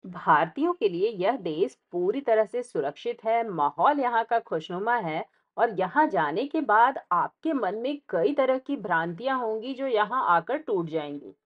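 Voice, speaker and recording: An Indian-accented woman narrates a travel video in a mid-pitched voice. She follows a script and speaks straight to the viewer, explaining as she goes. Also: animated, a little slow, few pauses, highs cut off. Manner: explanatory, addressing the viewer directly.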